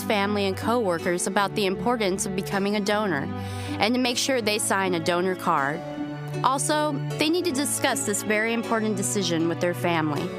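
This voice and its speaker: A young woman reading out a personal statement in an earnest, measured way. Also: mid-range voice. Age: young adult. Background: music.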